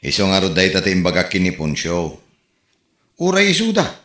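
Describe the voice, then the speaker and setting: Lalaking palatsismis, biglang tumitinis. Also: male voice, low-pitched, wildly swooping pitch, a little fast, a bit bright, roomy sound. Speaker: actor in comedy drama. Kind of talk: comedic audio drama acting, gossiping.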